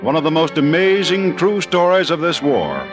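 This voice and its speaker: A man's voice: a little high, big pitch swings, normal speed. A man announcing a 1940s radio drama in a formal, dramatic scripted style. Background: loud music.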